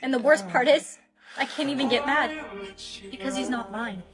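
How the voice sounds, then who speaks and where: A woman's voice: high-pitched, normal speed, music in the background, natural voice. A woman playing a lovelorn young woman in a romantic skit, conversational and restrained. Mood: hurt. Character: young woman in a secretly hurting casual romance. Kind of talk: short romantic skit acting.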